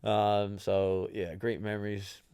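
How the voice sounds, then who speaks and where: A man's voice: fairly low pitch, monotone, takes it slowly, mellow, smooth, no room sound. A young man, a podcast host and former soccer player whose first language is Italian, telling stories casually with lots of fillers and "right?" tags. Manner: conversational, many fillers and tag questions. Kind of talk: casual storytelling.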